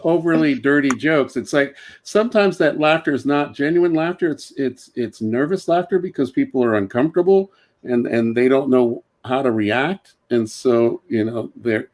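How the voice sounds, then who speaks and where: Male comic, medium pitch, conversational mentor, halting and repetitive phrasing. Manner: halting, repeats phrases as he explains. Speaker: comedian giving feedback. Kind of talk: casual conversational advice.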